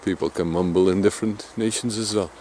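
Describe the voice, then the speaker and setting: Deep-voiced Dutch man mumbling outdoors. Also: steady tone, fast, dark tone, a little hiss or hum. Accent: Dutch Limburg. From Limburg, Netherlands. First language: Dutch Limburg dialect. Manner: deep voice, mumbling, chatty with small laughs. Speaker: vlogger. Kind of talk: casual outdoor vlog narration. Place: outdoors in flat open countryside.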